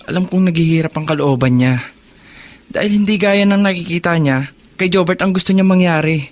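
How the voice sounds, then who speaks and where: A man's voice: sits high, fairly quick, natural voice. An actor playing a stern, worried young man, the responsible older brother, in earnest radio drama style. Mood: troubled, earnest. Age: young adult. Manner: scolding and earnest. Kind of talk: Filipino radio drama acting.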